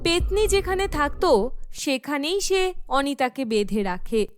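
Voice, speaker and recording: A woman narrates a scripted horror cartoon story. Her voice is very high and her pace unhurried, with music playing underneath. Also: sing-song.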